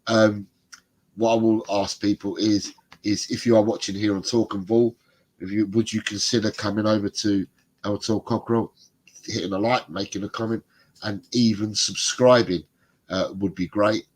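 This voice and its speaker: A man's voice: lowish voice, steady tone, moderate pace. A middle-aged man, congested, co-hosting a football fan livestream and reading out viewer comments in a chatty, rambling way. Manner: chatty, rambling, laughs often. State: congested, bunged up.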